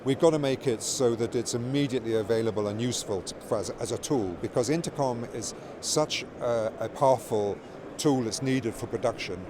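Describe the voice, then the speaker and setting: A man's voice: medium pitch, a little fast. A middle-aged or older man giving an informal interview as a company product executive, explaining things with repeated words and restarted phrases. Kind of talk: informal trade show interview. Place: busy trade show exhibition hall. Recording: loud trade show floor noise. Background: clear ambient show floor noise.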